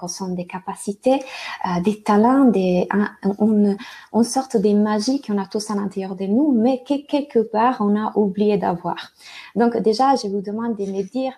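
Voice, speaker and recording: Italian woman coach, mellow, hesitant delivery on a webinar call. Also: mid-range voice. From Italy. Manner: hesitant, many fillers and restarts. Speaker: life and business coach. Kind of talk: webinar presentation.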